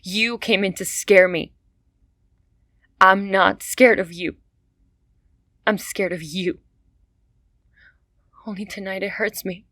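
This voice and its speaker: A desperate young woman, played by an actress, pleading with raw emotion in a radio play. She speaks slowly and deliberately. The recording is dry and close. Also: mid-range voice, fairly even intonation, a bit bright, no echo. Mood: defiant. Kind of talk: radio drama acting.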